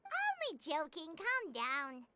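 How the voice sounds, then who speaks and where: A woman voicing a tiny, childlike, talkative female sprite in a video game, with a squeaky, expressive delivery. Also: slow, noisy background, full character voice. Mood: bubbly. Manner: chirpy, expressive, refers to self in third person.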